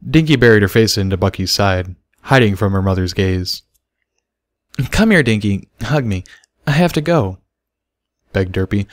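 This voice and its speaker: Low-voiced man narrating, dry sound. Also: dry recording. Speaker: audiobook reader of fan fiction. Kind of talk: scripted story narration.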